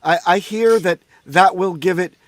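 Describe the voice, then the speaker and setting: A man co-hosting a casual chat show, joking with deadpan boasts, with a slightly nasal voice. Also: sits high, moderate pace, a crowd in the background. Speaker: co-host.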